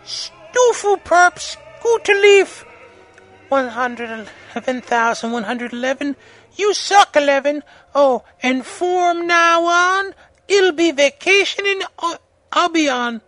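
A man voicing a whiny, foul-mouthed teenage girl author, petulant and gushing, with drawn-out bratty delivery in a fan fiction reading. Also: very high-pitched, animated, pushed and bright, no room sound, soft background music, voice pushed up, heavily acted. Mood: bratty, defiant.

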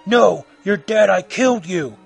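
A man's voice: high voice, animated, a little slow, gravelly, slightly rough, faint music behind, pitched above the performer's own voice, exaggerated voice. A man voicing an undead nightmare killer, menacing, taunting and full of rage, in a dramatic story reading. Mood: panicked. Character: gloating, raging undead male nightmare killer.

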